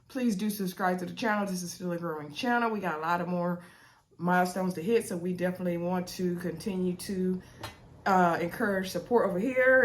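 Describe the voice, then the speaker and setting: A woman's voice: on the low side, a little hiss or hum. A young woman with a sore throat and congestion chatting casually to her viewers as she recaps a reality TV episode, with lots of ums and y'alls. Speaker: TV recap host.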